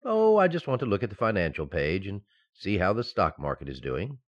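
A man's voice: fairly low pitch, big pitch swings, fairly quick, dull audio. A man playing a mild-mannered, distracted husband in an old-time radio sitcom, hesitant and absent-minded. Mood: breezy, affectionate.

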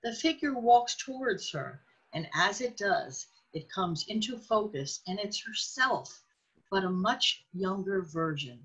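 A woman's voice: medium pitch, expressive, unhurried, fairly bright tone, slightly rough, poor audio. A woman reading scripted narration for a radio drama as its storyteller.

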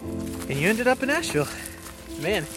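A man playing a chatty, inquisitive and slightly uneasy hiking companion in an audio drama, with an expressive, questioning delivery. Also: sits high, normal speed, over music. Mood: curious, wistful. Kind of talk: naturalistic audio drama dialogue.

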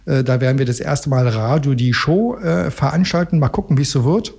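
A man's voice: medium pitch, brisk, creaky, darkish tone, slightly rough. A middle-aged man chatting casually in a radio studio, feeling his way through explanations with hesitant, wry understatement. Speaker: radio magazine studio guest and regular contributor.

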